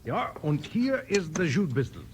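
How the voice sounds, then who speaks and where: High, excitable old German gunsmith. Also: male voice, normal speed. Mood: nervous. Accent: comic Pennsylvania Dutch German. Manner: stammering, mangled English full of invented words. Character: nervous old comic immigrant gunsmith. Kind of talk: 1950s radio western acting. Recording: noisy old 1950s radio recording.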